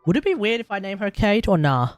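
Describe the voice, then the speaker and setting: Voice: medium pitch, big pitch swings, fast talker, dry recording, faint music behind. Rambling Australian streamer thinking aloud. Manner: rambling, thinks aloud, greets chat, sudden loud outbursts. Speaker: game streamer. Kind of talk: casual live-stream chatter while gaming.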